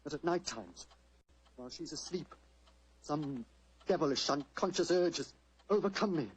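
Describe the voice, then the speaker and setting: A haunted stage actor speaks in a rough, husky voice pitched a little high. He is agitated and halting, with the heavy melodrama of old radio plays. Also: lively intonation, poor audio. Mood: anguished, urgent. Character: tormented stage actor fearing he is a murderer. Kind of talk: melodramatic 1940s radio acting.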